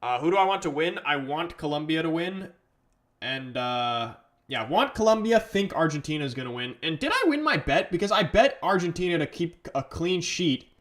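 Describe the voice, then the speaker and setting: A man's voice: a little high, animated, normal speed, a bit bright. A man with an English accent hosting a casual live stream, chatting through a football match and reading out viewer comments. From England. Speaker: livestream host.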